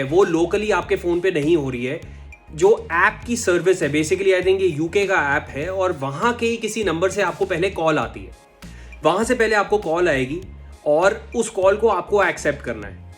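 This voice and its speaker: A man with an Indian accent and a fairly high voice presents as a tech host. He explains things casually and conversationally, switching languages as he goes, with music playing behind him. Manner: conversational, switches between Hindi and English. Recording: music under the voice. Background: background music.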